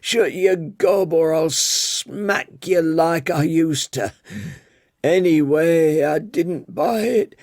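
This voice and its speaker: A man's voice: slow pace, a little mellow, dry recording, clean studio sound. A man doing a crabby foul-mouthed old tea lady. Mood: bossy. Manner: scolding, coarse, high put-on old woman's voice.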